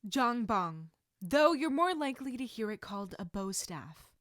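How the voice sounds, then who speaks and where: A woman voicing an encouraging adult female martial arts teacher in measured, instructive story dialogue. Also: a little high, big pitch swings, a bit bright, dry recording, clean studio sound. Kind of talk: audiobook-style character dialogue.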